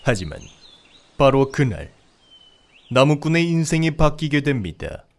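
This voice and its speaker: A man's voice: a little high, sing-song, a little slow. A man narrating a comedic fairy tale from a script as a storyteller. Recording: outdoor nature ambience, birdsong behind.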